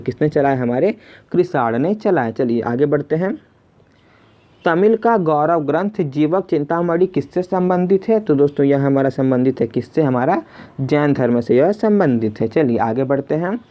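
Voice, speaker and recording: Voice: medium pitch, dark tone. A teacher narrating an exam lesson in question-and-answer form, repeating questions and addressing listeners as friends. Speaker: quiz teacher.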